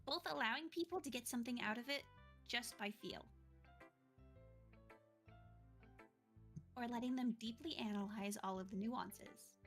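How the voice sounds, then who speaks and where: A woman's voice: a little high, fairly quick, natural voice. A woman voicing a confident, encouraging schoolgirl literature club president in visual novel dialogue, reassuring and chirpy. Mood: explaining. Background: game music.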